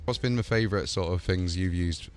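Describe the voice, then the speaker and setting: A man's voice: low voice, fairly quick, faint music behind. A man hosting a gaming channel, chatting casually and enthusiastically about game add-ons before a promotional wrap-up. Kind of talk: casual showcase chat and promo.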